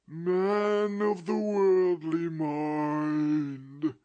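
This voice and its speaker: A man voicing a doomed, mournful male ghost in chains, drawing out his words in a slow lament. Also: a little high, flat delivery, mellow, dry recording, lo-fi sound, voice pushed up.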